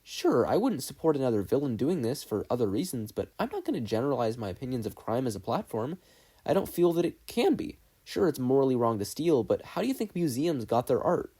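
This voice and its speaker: A man's voice: mid-range voice, big pitch swings, brisk, dark tone, husky. A man voicing a passionate, determined teenage boy student, earnest and insistent, in a story reading.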